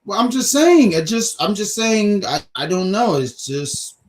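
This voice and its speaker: A man with a highly animated voice that swoops up and down. He co-hosts a review show in loose, casual chat. He teases and jokes, rambling and repeating phrases as he makes his point. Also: measured pace. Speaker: co-host of a wrestling review show. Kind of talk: casual chat, opinionated commentary.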